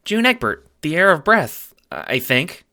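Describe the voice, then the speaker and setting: Man putting on a high, confused, polite youngster. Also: very animated, measured pace, sharp tone, exaggerated voice. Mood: anxious, confused. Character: polite, confused youngster waking without memory. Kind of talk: audio drama character acting.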